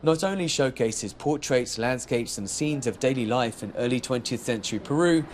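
A male news reporter reads his script in a measured, even voice of middle pitch. Faint noise sits under the recording.

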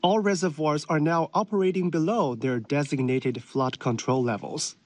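A male reporter delivering a formal news report in a measured way. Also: a little high, fairly even intonation, a little mellow.

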